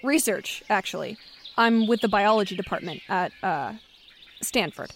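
A woman's voice: mid-range voice, animated, moderate pace, vocal fry, slight background noise. A woman playing a guarded, defensive scientist in a naturalistic audio drama, with a hesitant, dry, easily exasperated manner. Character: guarded, defensive woman scientist who hunts mysterious creatures.

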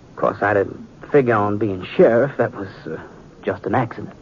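A man's voice: moderate pace, a little hiss or hum, natural voice. A man acting a plain-spoken, determined young American sheriff in a 1950s radio western. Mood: earnest, wistful. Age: young adult. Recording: muffled, rumbly 1950s radio recording.

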